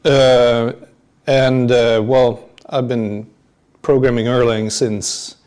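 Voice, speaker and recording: Guy being interviewed at a conference, middle pitch, lots of ums and pauses. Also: little pitch movement, darkish tone, clear, resonant tone. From Sweden. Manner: halting, many pauses and fillers, chuckles often. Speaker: guest, programmer. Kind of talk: casual interview chat. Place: conference venue.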